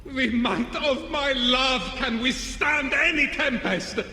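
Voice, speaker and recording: A man voicing a lovestruck, reckless fisherman in a video game, declaiming grandly in drawn-out phrases. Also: very high-pitched, pushed and bright, exaggerated voice. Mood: ecstatic, defiant. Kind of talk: video game character dialogue. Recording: echoey, reverberant, noisy ambience.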